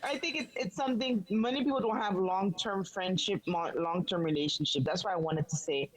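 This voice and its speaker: A woman's voice: medium pitch, brisk, rough recording. A middle-aged woman from Haiti, speaking earnestly as an interview guest and growing firm and emphatic. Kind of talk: casual interview talk.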